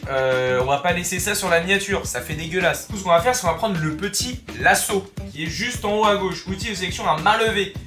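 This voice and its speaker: High-voiced young man with a cold. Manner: chatty, joking, crude slang. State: has a cold. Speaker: YouTuber teaching software. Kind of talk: casual screen-recorded tutorial. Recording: roomy room with background music.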